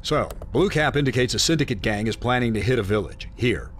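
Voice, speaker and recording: An actor playing a confident, blunt intelligence officer giving a clipped, matter-of-fact military briefing in a video game cutscene. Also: male voice, medium pitch, lively intonation, brisk, slight background noise, natural voice.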